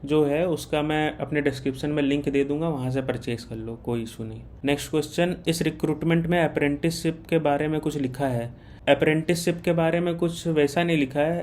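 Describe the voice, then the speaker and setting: An Indian man with a slightly high voice answers exam questions as an informal guide. He reads each query aloud and replies in a reassuring, matter-of-fact manner. Faint noise sits behind his voice. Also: a little mellow. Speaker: exam guidance host. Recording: clear background noise.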